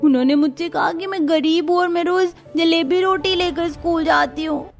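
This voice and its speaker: A woman's voice: very high-pitched, moderate pace, faint music behind. A woman voicing an upset nine-year-old schoolgirl in a cartoon story, complaining and pleading. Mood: hurt, sulky. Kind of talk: Hindi cartoon story voice acting.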